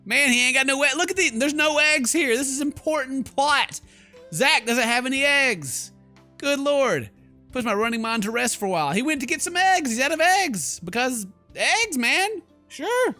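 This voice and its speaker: A man's voice: very high voice, sing-song, normal speed, pushed and bright, faint music behind. A man giving casual, sarcastic, joking commentary as he plays a game. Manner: playful, sarcastic, exclamatory. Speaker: gaming YouTuber, let's player.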